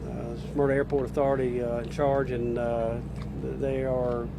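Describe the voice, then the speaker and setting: Measured American man speaking over loud outdoor noise. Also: medium pitch, little pitch movement, vocal fry. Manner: measured, halting, formal. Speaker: local official spokesman at a press briefing. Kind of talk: press statement and questions, partly read aloud.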